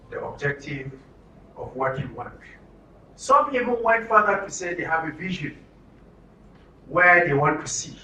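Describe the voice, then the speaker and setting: A man's voice: a little high, pushed and bright. A man with a Nigerian accent facilitating a live workshop, speaking instructively with rhetorical questions and repetition. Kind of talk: live workshop talk to a group. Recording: roomy, distant mic with some noise.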